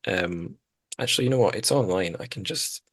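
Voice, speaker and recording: A man's voice: deep voice, animated, a little fast, fairly bright tone, slightly rough. A man hosting a podcast, talking casually and thoughtfully about literature in tentative phrases. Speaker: podcast host.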